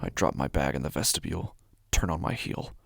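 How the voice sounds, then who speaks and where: A man acting a deep, gravelly, obsessive horror narrator. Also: a little fast, background noise. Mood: tense, brooding. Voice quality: low, gravelly, often breathy, dropping to whispers. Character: anxious, obsessive male apartment dweller fixated on a door. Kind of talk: dramatic first-person horror audio drama monologue.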